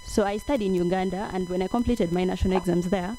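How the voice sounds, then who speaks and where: A woman's voice: medium pitch, quick pace, mellow, soft background music. A woman in her twenties from South Sudan giving an earnest, formal speech as a youth parliament delegate. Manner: earnest, formally addresses the house. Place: debate hall.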